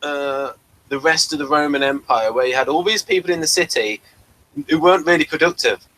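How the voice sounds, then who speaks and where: A man with a somewhat high voice and a bright, sharp edge talks in an informal discussion. He lays out his opinions in an explanatory way, restarting and repeating phrases as he builds each point. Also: fairly quick, poor audio. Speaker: podcast reviewer and discussion panelist. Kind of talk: casual discussion.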